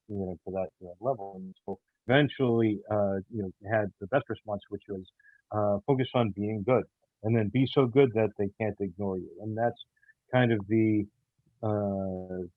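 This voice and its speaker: Male interview guest, lower register, hesitant and sincere, casual talk in a dry space. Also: no room sound, phone-quality sound. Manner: hesitant, halting, earnest. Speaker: audio theater show creator, interview guest.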